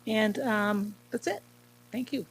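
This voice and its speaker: A woman's voice: mid-range voice, slow pace, slight background noise, rough recording. Enthusiastic woman speaking from afar. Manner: warm, enthusiastic praise.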